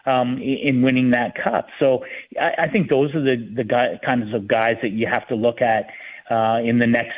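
Male sports pundit, radio guest down a thin phone line, middling pitch, thinks aloud. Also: fairly even intonation. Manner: halting, conversational, thinking aloud with repeated restarts. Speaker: sports writer, guest analyst. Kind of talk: hockey talk radio discussion.

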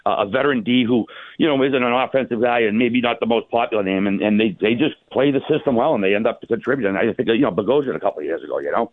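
Fast-talking man on a phone-like line. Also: medium pitch, no room sound. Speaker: hockey analyst guest. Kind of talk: casual sports chat.

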